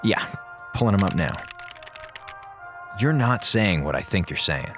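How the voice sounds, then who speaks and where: A man's voice: low voice, animated, a little slow, music in the background. An actor playing a laid-back, wisecracking male communications officer in a space audio drama, talking colloquially and hesitantly. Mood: urgent.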